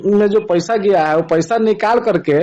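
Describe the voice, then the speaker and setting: A man's voice: high voice, moderate pace, warm tone. A man with a Bihari accent talking casually on a recorded phone call, explaining things and repeating phrases for emphasis.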